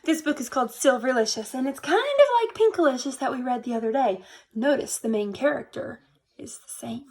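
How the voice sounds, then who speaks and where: A woman reads aloud for young children. Her voice is high and swings widely in pitch. She addresses the listeners directly, with an animated storytime delivery. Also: brisk. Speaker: teacher reading a picture book aloud to children. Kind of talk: expressive children's read-aloud.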